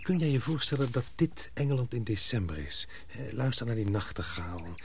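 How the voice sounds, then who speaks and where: A man's voice: mid-range voice, sing-song, normal speed, creaky, a little hiss or hum. A man playing a dutiful young English fiancé in a radio drama, thoughtful and restrained. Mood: tender, reflective.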